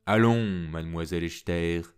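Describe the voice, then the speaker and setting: A man's voice: sits low, normal speed, smooth, faint music behind, lightly acted. A man voicing a good-natured, mysterious older man in an audiobook reading, soothing and a little solemn. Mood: genial. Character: jovial, mysterious older man, a jack of all trades.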